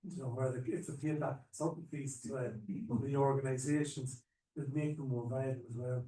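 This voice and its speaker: A man's voice: mid-range voice, steady tone, a little fast, mellow. A man from Ireland asking a question from the audience at a panel, earnest and a bit rambling. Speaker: audience member. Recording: roomy hall, mic at a distance.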